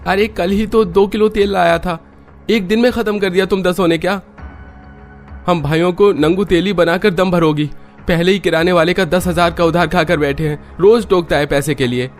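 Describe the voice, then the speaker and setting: A man's voice: sits high, fairly even intonation, normal speed, faint music behind, voice pushed up, natural voice. A man voicing an indignant, complaining young husband in a cartoon family drama. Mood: exasperated. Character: exasperated young husband, complaining about money.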